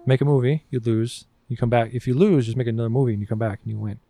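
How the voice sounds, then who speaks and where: A man's voice: mid-range voice, steady tone, fast talker, warm tone. A man hosting a podcast in casual banter, blunt and profane as he ribs his friends. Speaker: podcast host.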